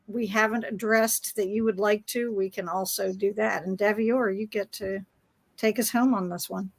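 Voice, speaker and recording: A woman's voice: medium pitch, fairly even intonation, normal speed, gravelly, no room sound. A woman moderating a panel discussion in a casual, conversational style.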